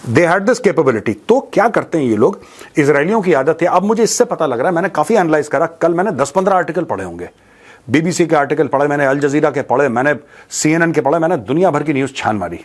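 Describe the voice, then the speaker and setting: An Indian man with a slightly high voice gives informal news commentary. He is blunt and mocking, talking colloquially to his viewers and slipping into Indian-accented English. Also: animated, fast talker. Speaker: commentator and show host.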